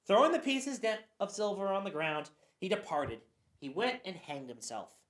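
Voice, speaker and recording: A woman giving a dramatic Bible reading, expressive, with occasional stumbles. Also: medium pitch, normal speed, slight background noise. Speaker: scripture reader. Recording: roomy echo.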